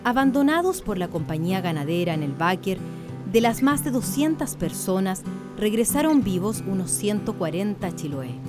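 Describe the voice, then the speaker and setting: A woman's voice: medium pitch, sing-song, moderate pace. A woman narrating a radio drama in a literary, scripted style. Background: plucked guitar music.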